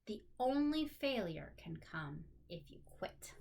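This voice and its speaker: A woman teacher reading a children's picture book aloud expressively, with deliberate dramatic phrasing and stretched key words. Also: lowish voice, sing-song, gravelly, distant mic, heavy background noise.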